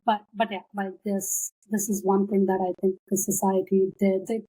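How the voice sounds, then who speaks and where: A young woman from Nepal whose first language is Nepali talks as an interview guest. She speaks at an ordinary middle pitch and thinks aloud, with fillers and restarts. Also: level tone, normal speed, warm tone, husky, rough recording. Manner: thoughtful, with many fillers and restarts. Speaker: guest, applied scientist. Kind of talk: casual interview conversation.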